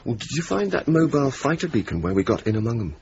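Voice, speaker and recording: An actor playing a wry young RAF night-fighter officer with dry, sardonic banter, in naturalistic radio drama style. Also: male voice, medium pitch, very animated, quick pace. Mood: irritated. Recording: muffled, noisy old radio broadcast.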